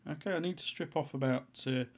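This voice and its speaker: A man giving an unscripted how-to voice-over as a hobbyist presenter, in a halting way, as if thinking aloud. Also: on the high side. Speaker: hobbyist presenter of a homebrew antenna tutorial. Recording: dull, lo-fi sound.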